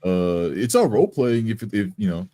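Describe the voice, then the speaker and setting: A man's voice: on the low side, very animated, moderate pace, over a noisy crowd. A man who plays tabletop roleplaying games, talking casually about his character. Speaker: tabletop game player.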